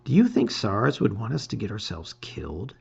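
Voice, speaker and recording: A man's voice: on the low side, very animated, moderate pace, vocal fry, breathy edge, lo-fi sound, heavily acted. A man voicing a determined, protective quest hero from a storybook, earnest and dramatic. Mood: despairing.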